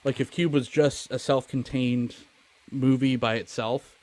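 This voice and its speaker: Guy podcast host chatting about movies, self-deprecating jokes, swears a bit, medium pitch. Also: fairly even intonation, unhurried, a little mellow. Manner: joking, profane, self-deprecating. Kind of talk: casual movie review chat.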